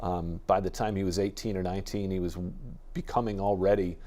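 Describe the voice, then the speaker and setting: A man's voice: low-pitched, steady tone, brisk, dark tone. An American man talking casually as an interview guest from a radio station.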